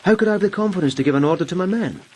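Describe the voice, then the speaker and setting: An actor playing a plainspoken, brooding police lieutenant of humble birth in a radio drama. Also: male voice, high voice, lively intonation, rapid-fire, a little mellow, a little hiss or hum. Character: rough-born police lieutenant, brooding and wry.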